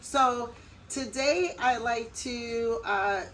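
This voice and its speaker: A woman's voice: on the high side, fairly bright tone. Middle-aged american lady chatting to camera, friendly and personal, bit of faint noise in the background. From United States. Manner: chatty, personal, with quick laughs. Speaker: vlogger. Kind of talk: casual talk to camera.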